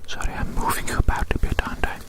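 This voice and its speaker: An ASMR performer speaking in a breathy, unvoiced whisper, fussy and deliberate, repeating phrases in a roleplay.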